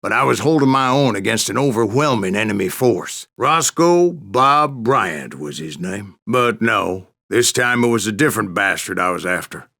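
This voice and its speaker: An actor playing a grizzled old Wild West gunslinger in a video game, telling boastful tales in a folksy, theatrical American Western drawl with a gravelly voice. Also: male voice, on the low side, expressive, moderate pace, hardly any pauses, bright, forceful tone, full character voice. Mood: vengeful, dramatic. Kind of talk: dramatic first-person storytelling narration for a western game.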